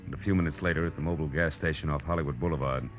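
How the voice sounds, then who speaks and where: An actor with an American accent playing a tough, wry private detective, terse and slangy, in 1940s radio style. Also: male voice, very deep voice, steady tone, rapid-fire, slight background noise. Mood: wry, tense. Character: hard-boiled male private detective narrator, tough and wry. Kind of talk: 1940s radio acting, first-person detective narration. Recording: dull old radio recording with music.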